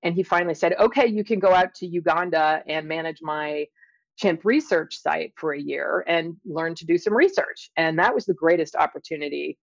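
A middle-aged woman speaking as an interview guest, explaining and telling her experiences in a thoughtful, halting way with drawn-out emphasis. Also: sits low, vocal fry, no room sound, lo-fi sound. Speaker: interview guest, scientist and author. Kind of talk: conversational interview, personal storytelling and explanation.

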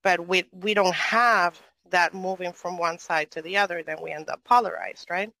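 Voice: mid-range voice, fairly even intonation, normal speed, vocal fry, sharp tone, dry recording, low-quality recording. A moderator from Venezuela in a casual online audio chat, asking a long, rambling question and often tagging on "right?".

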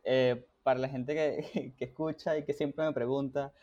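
A man's voice: mid-range voice, moderate pace, muffled recording. Venezuelan man hosting a podcast. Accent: Venezuelan Spanish. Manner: relaxed, laughs often, many fillers. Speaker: podcast host. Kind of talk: casual interview chat.

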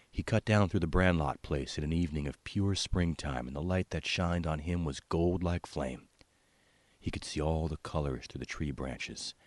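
A man's voice: a bass voice, darkish tone, slightly rough, no room sound. A man reading his own literary short story aloud in a folksy storytelling manner.

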